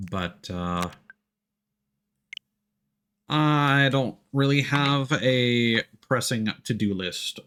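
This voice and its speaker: Guy w lowish voice streaming a game, chill casual commentary, thinking out loud, game music going behind him. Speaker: livestream host. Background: video game music.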